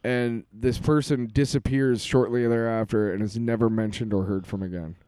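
A man co-hosts a casual podcast, cracking jokes and swearing freely in banter. His voice sits at a middle pitch. The recording is dry and close. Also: steady tone, normal speed, dark tone, clean tone, dry recording. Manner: wisecracking, profane banter. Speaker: podcast co-host. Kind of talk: casual chat.

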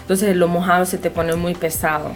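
A woman's voice: on the low side, flat delivery, brisk. A woman with a Honduran Spanish accent, an interview guest telling a personal story in casual conversation, explaining things directly to the listener.

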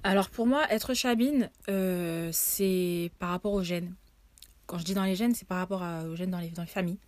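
A woman's voice: on the low side, moderate pace, a little mellow. A woman in her twenties from the French Caribbean, a podcast guest talking casually and hesitantly, with frequent fillers and restarts. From Guadeloupe, Martinique and French Guiana. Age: 20s. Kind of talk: casual conversation.

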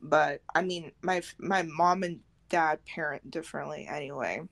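Young woman, gravelly fry, slightly low pitch, casual podcast chat, loose phrasing. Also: moderate pace, fairly bright tone. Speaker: podcast co-host.

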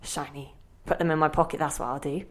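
Muttering, childlike inner voice, lowish. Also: female voice, steady tone, fairly quick. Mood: curious, distracted. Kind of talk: audio play acting.

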